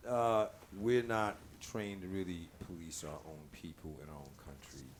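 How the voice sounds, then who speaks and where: A man's voice: sits low, lively intonation, moderate pace. A man speaking as a guest on a talk show, in casual, earnest, informal talk. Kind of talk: casual chat. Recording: clearly noisy live-stream room sound.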